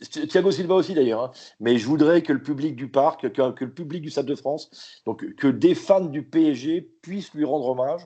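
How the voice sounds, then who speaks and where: A man guesting as a football commentator in a casual chat, retelling moments with animated, repetitive enthusiasm. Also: fairly high pitch, normal speed, husky. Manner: animated, repeats words as he thinks. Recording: dull lo-fi remote line.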